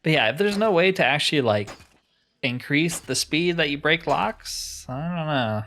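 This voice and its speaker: A man's voice: fairly high pitch, expressive, moderate pace, pushed and bright. A man giving casual let's-play commentary, musing aloud with wry asides as he plays. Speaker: gamer narrating his playthrough.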